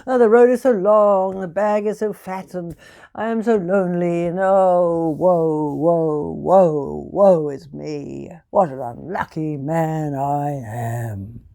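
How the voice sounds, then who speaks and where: A woman voicing a gloomy, self-pitying tinker man who moans and wails drawn-out laments in a storybook tale. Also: on the low side, creaky, dark tone. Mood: moaning.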